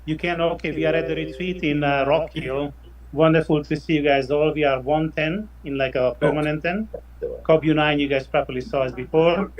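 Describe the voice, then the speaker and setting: A man's voice: on the high side, steady tone, moderate pace, highs cut off. A man with a non-native English accent hosting a hybrid group meeting and reading a text aloud in a friendly, careful way. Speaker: workshop host and reader.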